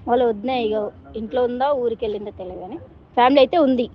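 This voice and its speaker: A woman with a Telangana accent answers interview questions in plain everyday speech. Her voice is fairly high and rapid, on a dull recording with faint background noise. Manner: plain, colloquial, repeats short phrases. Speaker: housemaid and caretaker being interviewed. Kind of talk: informal spoken answers in an interview.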